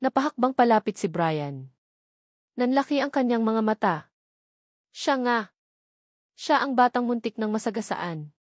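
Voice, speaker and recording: A woman's voice: on the high side, animated, measured pace, long pauses, dry recording, studio quality. A woman reading a scripted, dramatic romance story as narrator.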